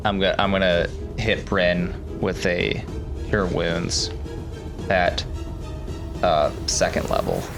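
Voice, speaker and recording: Low-voiced man gamer over loud music. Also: slow, vocal fry, long pauses. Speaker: tabletop game player. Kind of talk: casual game chat. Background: loud cinematic score.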